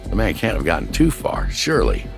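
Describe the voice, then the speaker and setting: Really deep gravelly guy telling a crime story, suspenseful, music underneath. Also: wildly swooping pitch, fairly quick. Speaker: narrator of a true-crime series. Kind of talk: dramatic scripted documentary narration. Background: background music.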